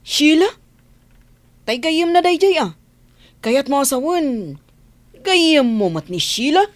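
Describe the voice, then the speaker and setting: A woman's voice: high-pitched, very animated, slow, no echo, exaggerated voice. An actress playing a nosy, scheming young village woman in a radio drama, with an Ilocano accent and probing, exclaiming questions. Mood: prying, envious.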